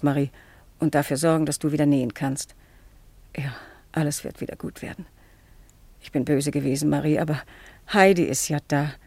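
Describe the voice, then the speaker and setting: An actress playing an anxious, remorseful and pious farmer's wife with a halting murmur in a German radio play. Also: female voice, low-pitched, a little mellow, slightly rough, no room sound.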